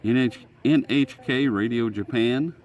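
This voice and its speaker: A man's voice: medium pitch, unhurried, darkish tone. A man giving casual, unscripted commentary as a radio hobbyist, musing and guessing aloud as he goes. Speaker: shortwave radio hobbyist narrating a band scan. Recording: noisy, radio static behind. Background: shortwave radio static and stations.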